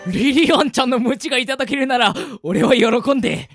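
A woman's voice: high-pitched, a little fast, over music. A woman playing a flippant, girl-crazy, easygoing young man in an anime-style radio drama. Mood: eager, gallant.

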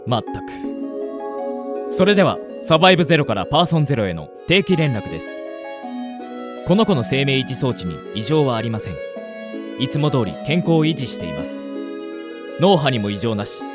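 男性が演じる抑揚豊かで生真面目なアンドロイド. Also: male voice, mid-range voice, big pitch swings, normal speed, pauses often, lightly acted. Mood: stern, businesslike. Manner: formal, polite, precise. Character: earnest, punctilious male android assistant. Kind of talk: radio drama acting. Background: music.

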